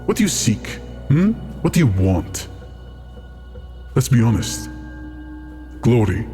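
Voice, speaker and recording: A man voicing a proud, bitter ifrit genie in a tabletop game, speaking in a measured, stern way. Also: mid-range voice, sing-song, mellow, soft background music. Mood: distrustful. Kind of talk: improvised tabletop roleplay.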